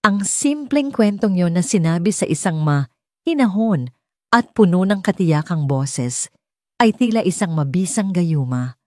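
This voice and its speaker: Filipino lady reading a love story audiobook, soft dark tone, lowish voice, close dry sound. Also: expressive, measured pace, dry recording. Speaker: audiobook narrator. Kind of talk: scripted romance story narration.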